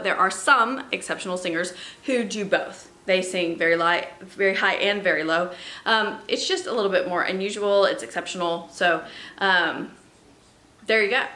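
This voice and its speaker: A woman's voice: mid-range voice, bright, forceful tone, roomy sound. A woman who sings soprano, teaching a music lesson to students at home and explaining patiently, with fillers and little laughs. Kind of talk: remote classroom lesson.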